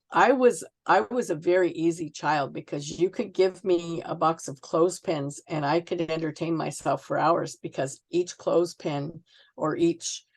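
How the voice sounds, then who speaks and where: A woman's voice: deep voice, low-quality recording. A Canadian woman in her sixties from Ontario, chatting and telling anecdotes as a guest author in a casual interview. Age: 60s.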